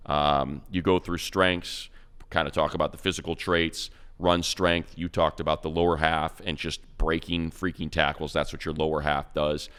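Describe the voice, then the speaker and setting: A man co-hosting a sports podcast, breaking down a player with quick, enthusiastic stats and slangy praise. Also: a bass voice, level tone, fairly bright tone, smooth. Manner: fast, enthusiastic stat-heavy breakdown. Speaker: radio play-by-play announcer, co-host.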